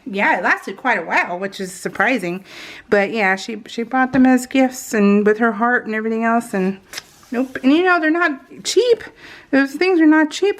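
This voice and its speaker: A middle-aged American woman telling personal stories in a casual, informal, animated way, slipping into retold dialogue. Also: fairly high pitch. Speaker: craft video host. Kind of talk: casual chatty storytelling.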